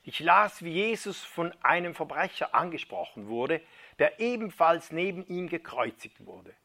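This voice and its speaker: Male preacher, slightly higher pitch, crisp bright tone; dramatic storytelling read with whispered hushes. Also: very animated, moderate pace. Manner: dramatic reading, dropping to a hushed near-whisper at key moments. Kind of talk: sermon retelling a first-person testimony.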